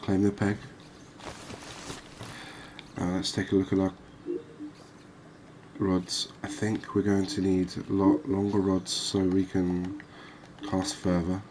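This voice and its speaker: Soft spoken guy doing asmr gaming commentary, really slow, flat tone, pauses to think. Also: low-pitched, noisy background. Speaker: gaming video host.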